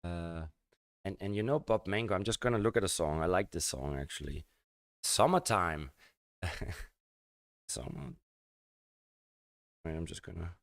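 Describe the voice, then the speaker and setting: An older man from Denmark, rambling and halting through a casual live-stream chat with his viewers. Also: deep voice, dry recording. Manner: halting and rambling, many fillers and restarts.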